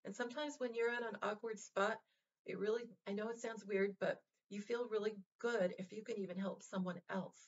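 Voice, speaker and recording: A woman of middle pitch talks straight to her viewers, gentle and reassuring. She pauses often and restarts her phrases. The recording sounds dull and lo-fi. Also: steady tone. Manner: halting, full of fillers and restarts, gentle and reassuring. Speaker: vlog host. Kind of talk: casual heartfelt address to viewers.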